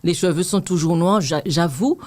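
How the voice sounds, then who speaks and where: A woman's voice: fairly low pitch, normal speed, a little mellow. A woman in her fifties with a Martinican accent, chatting earnestly as an interview guest and reflecting on accepting herself. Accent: Martinican French. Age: 50s. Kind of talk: casual interview chat.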